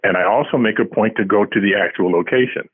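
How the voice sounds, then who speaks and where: Husky man on a tinny phone line. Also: fairly low pitch, fast. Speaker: author, interview guest. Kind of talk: casual interview answers.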